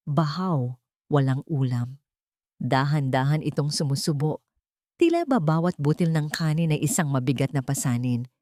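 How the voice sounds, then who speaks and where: A woman narrating a scripted dramatic story in measured, short phrases. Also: low-pitched, dark tone, no echo, studio quality.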